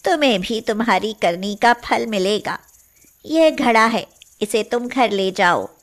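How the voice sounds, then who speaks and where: Slow, high-voiced magical cow, played by a woman. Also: expressive. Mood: stern, measured. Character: kindly magical golden cow, gentle but just. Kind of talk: animated moral story dialogue in Hindi.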